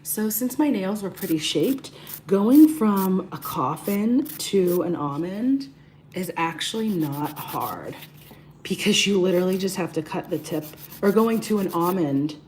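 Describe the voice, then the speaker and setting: A woman in her thirties, hoarse and raspy from losing her voice, chatting casually to livestream viewers during a nail tutorial and greeting them by name. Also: on the low side, expressive, measured pace, a little mellow, slight background noise. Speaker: beauty livestream host.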